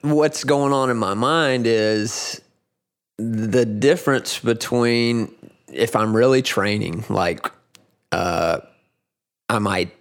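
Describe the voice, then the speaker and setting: Rambling, halting man in his forties. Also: fairly low pitch. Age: 40s. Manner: rambling, halting, frequent restarts. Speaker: podcast guest, grappling competitor. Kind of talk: casual chat.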